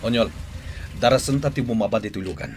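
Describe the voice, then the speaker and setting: An actor playing a commanding, vengeful gang boss in an Ilocano radio drama, with a forceful theatrical manner. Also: male voice, on the low side, fast, a little hiss or hum, natural voice. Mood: menacing. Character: commanding gang boss hunting his rival, vengeful man.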